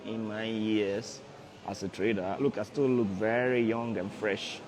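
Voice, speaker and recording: Low-voiced man coaching a livestream audience. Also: fairly even intonation, a little slow, music in the background, poor audio. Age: 30s. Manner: repetitive, coaxing, addresses viewers directly. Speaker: forex trader hosting a livestream. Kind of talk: casual motivational livestream talk.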